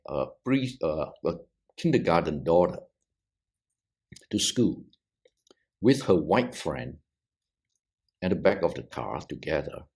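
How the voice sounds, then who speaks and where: Slow, animated, halting man from Malaysia. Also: deep voice. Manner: halting, with many restarts and repeated words. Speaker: theologian panelist. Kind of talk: thoughtful discussion on a video podcast.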